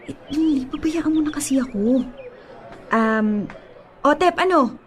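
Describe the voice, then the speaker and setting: High-voiced lovesick young Filipino woman. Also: lively intonation, measured pace, slight background noise. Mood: insistent. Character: lovesick young woman, pleading and stubborn, scheming to win back her ex. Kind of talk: Filipino radio drama acting.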